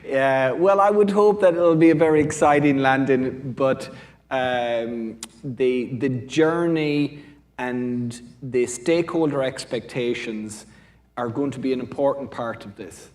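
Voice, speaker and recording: A man's voice: medium pitch, a little slow, a little mellow. A man with an Irish accent answering as a panel speaker from a business school, discursive and often rephrasing mid-sentence.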